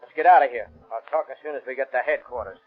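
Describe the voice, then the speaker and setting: A man's voice: mid-range voice, quick pace, close to an everyday voice. An actor playing a surly, cornered criminal with slangy tough-guy talk in a 1930s radio drama. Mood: surly, resigned. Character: cornered surly male criminal, grudgingly cooperative. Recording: tinny band-limited 1930s radio recording.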